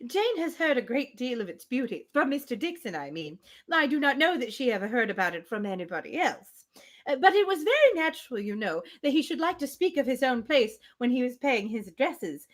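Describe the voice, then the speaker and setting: A good-natured, chattering spinster from a classic novel, played by a woman reading aloud. The voice is high and swings up and down constantly as it rambles on, effusive and breathless. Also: sing-song, brisk. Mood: fond, chattering.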